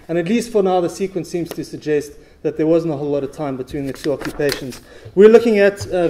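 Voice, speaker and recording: A middle-aged man giving a conference presentation from a podium in a large auditorium, speaking in a measured way with frequent uh fillers. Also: on the high side, warm tone. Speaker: researcher presenting at a talk. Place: stage of a large dark auditorium.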